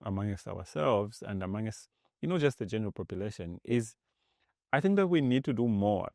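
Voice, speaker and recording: A low, gravelly man from Zambia talks as a guest on an interview podcast. He is animated, restarting and repeating words as he explains ideas. The recording is close and dry. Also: moderate pace, dry recording. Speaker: podcast guest, tech executive. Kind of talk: casual interview talk.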